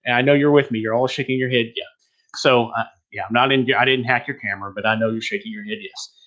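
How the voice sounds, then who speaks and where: A man's voice: mid-range voice, fairly even intonation, fast, a bit bright, highs cut off. A man from Texas presenting an online teaching webinar in a rambling, joking, self-deprecating way.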